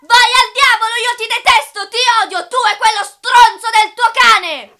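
A woman in her early twenties dubbing a passionate, sharp-tongued young woman in an animated film, with an emphatic, theatrical delivery. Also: very high voice, moderate pace, heavily acted. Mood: furious. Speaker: fan dub voice actress.

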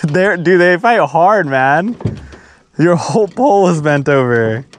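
A man's voice: fairly high pitch, expressive, unhurried. Laid-back young man fishing from a boat. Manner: laid-back, says dude and bro. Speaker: fishing vlogger. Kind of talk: casual outdoor chat. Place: small boat on a river, outdoors. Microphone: action camera on the boat. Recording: action camera with clear wind and water noise.

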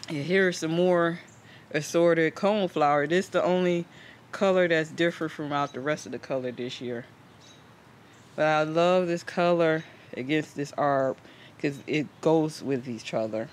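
Man, fairly high voice, rambling casual vlog host, outdoor camera mic, faint ambient noise. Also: normal speed. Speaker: host of a home gardening channel. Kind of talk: casual garden tour chat. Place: outdoors in a backyard garden. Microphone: handheld camera microphone.

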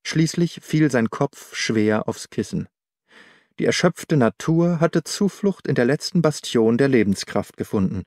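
Man, audiobook narrator, scripted literary reading, medium pitch, dry studio sound. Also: darkish tone, dry recording.

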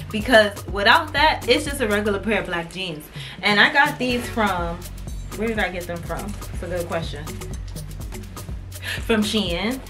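A woman's voice: medium pitch, a bit bright. Chatty young woman doing a fashion haul. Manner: chatty, emphatic, addresses viewers as y'all. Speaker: fashion vlogger. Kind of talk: casual try-on haul chat. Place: indoors in front of a painted wood backdrop.

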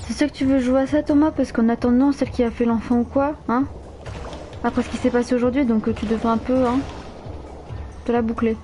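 A woman's voice: fairly high pitch, steady tone, fairly quick, dark tone, soft background music. A nineteen-year-old woman, chatting playfully with viewers while playing a game live, flustered and teasing. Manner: playful, bantering, easily flustered. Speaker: streamer. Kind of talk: live gameplay chat with viewers.

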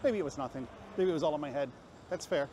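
A man's voice: fairly high pitch, fast talker, mellow. A man talking casually to a camera as a travel vlogger walking on a busy street, rambling in a self-mocking way. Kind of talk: casual walk-and-talk narration to camera. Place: busy city street, outdoors. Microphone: handheld camera while walking. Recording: clear street and traffic noise.

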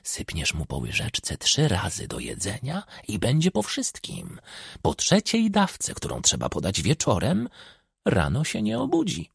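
A man's voice: mid-range voice, huge pitch swings, measured pace, creaky, slightly rough, dry recording, clean studio sound, full character voice. A man voicing a bawdy, ruthless old village witch who gives coarse, conspiratorial instructions in an audiobook.

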